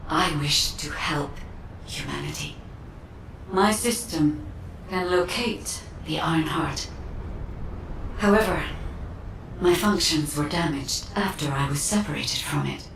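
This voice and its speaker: Slow, echoing solemn AI guide. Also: female voice, creaky, fairly bright tone, full character voice. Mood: solemn appeal. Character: calm female guide, ancient AI system, earnestly pleading. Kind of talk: scripted video game acting. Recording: distant, echoing, noisy rumble.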